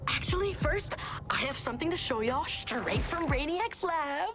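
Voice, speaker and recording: A woman's voice: sits high, expressive, unhurried, over music. An actress playing a cocky young woman rebel in a video game cutscene, talking in a breezy, sarcastic, chatty way.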